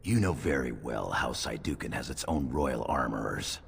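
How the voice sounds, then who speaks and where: Very deep gravelly dwarf guard. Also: male voice, animated, normal speed, full character voice. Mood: reproving. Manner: curt, reproving. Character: gruff, stern male dwarf bodyguard. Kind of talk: fantasy video game voice acting. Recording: reverberant hall.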